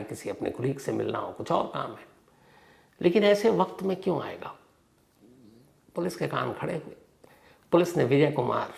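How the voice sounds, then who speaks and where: A man hosting a crime storytelling show, speaking conversationally with dramatic pauses, strong stresses and phrase endings that drop away. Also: vocal fry. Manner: dramatic pauses and emphatic stresses, sentences trailing off low.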